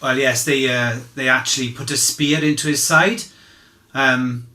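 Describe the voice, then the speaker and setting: A man playing a candid, humble fisherman giving halting, conversational eyewitness testimony in a courtroom drama. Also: medium pitch, steady tone, pushed and bright. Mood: sombre recollection. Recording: roomy, echoing sound.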